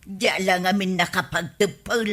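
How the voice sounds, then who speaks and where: Woman acting a sharp-tongued village housewife. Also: medium pitch, fairly even intonation, moderate pace, lightly acted. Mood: defensive. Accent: Ilocano. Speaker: actress in a comedy drama. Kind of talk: broad Ilocano radio comedy drama acting.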